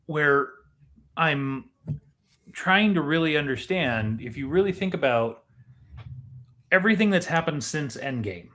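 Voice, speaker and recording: A man co-hosting a podcast in casual, analytical discussion, deliberate and questioning in how he talks. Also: fairly high pitch, lively intonation, a bit bright. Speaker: podcast co-host.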